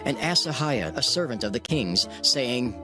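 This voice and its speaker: A man's voice: medium pitch, very animated. Solemn male narrator, widely varied intonation. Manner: solemn, measured. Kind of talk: scripted scripture narration. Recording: music bed under the voice.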